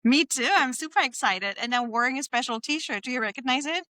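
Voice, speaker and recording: Woman host, German accent, bright voice recorded dry and close, gushing, slangy, sweary casual chat. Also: high voice, lively intonation, fairly quick, dry recording. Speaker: podcast host.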